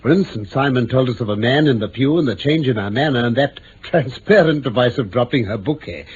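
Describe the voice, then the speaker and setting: Male actor astute British gentleman detective, animated theatrical delivery, precise, self-assured, vintage radio drama, dull recording. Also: mid-range voice, a little fast, a little hiss or hum, close to an everyday voice. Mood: assured, explanatory. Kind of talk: 1950s-60s BBC radio drama acting.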